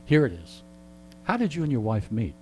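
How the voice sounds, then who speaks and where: A man's voice: mid-range voice, huge pitch swings, brisk, a little mellow, low-quality recording. A middle-aged or older man telling casual anecdotes in a wry, joking, digressive way. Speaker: interview guest and storyteller. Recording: clear background mains hum.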